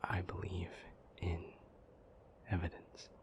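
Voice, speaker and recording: A man playing a troubled, powerful demon in an intimate audio roleplay, speaking breathily in deliberate, halting phrases. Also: low-pitched, monotone, full character voice. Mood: brooding, doubtful. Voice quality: breathy, half-whispered. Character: troubled, powerful male demon confiding gravely in a lover.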